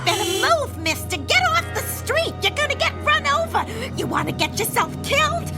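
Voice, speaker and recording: An actress playing a scatterbrained, flustered woman driver in a radio drama, fretting and muttering, with loud street effects behind. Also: very high voice, sing-song, normal speed, creaky, loud music behind the voice, exaggerated voice.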